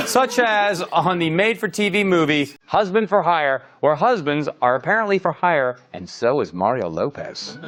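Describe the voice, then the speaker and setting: A man's voice: fairly high pitch, moderate pace, few pauses. A man hosting a comedy clip show, delivering sarcastic scripted jokes about television clips. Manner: deadpan snarky jokes, laughing audience reacting. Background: studio audience laughter.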